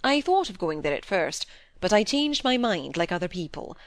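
A woman voicing a gruff, exasperated middle-aged surgeon father in an audiobook, terse and dryly wry. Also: lowish voice, big pitch swings, normal speed, dry recording. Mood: wry, probing.

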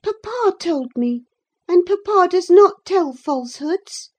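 A woman voicing a spirited, outspoken young lady in an audiobook, pert, emphatic and quick to anger. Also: sits high, animated, warm tone, voice pushed up. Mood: indignant, angry. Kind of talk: dramatised audiobook reading of a classic novel.

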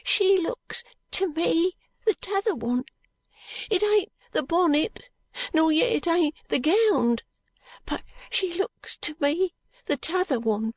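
A woman performs a feverish, stubborn street boy from London for an audiobook. The voice sits high and hoarse, muttering in a slow drawl. It sounds frightened and poorly, and very dry and close. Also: lively intonation, no echo, heavily acted. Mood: wary, doubtful. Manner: slow, drawling uneducated dialect. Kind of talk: audiobook character voice.

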